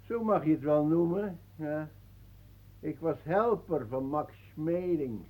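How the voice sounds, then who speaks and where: An actor playing a boastful old former boxer in a Dutch radio play, chatty and clipped. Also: male voice, on the high side, slow, darkish tone, low-quality recording. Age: older. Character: boastful old former boxer, proud of his big bones.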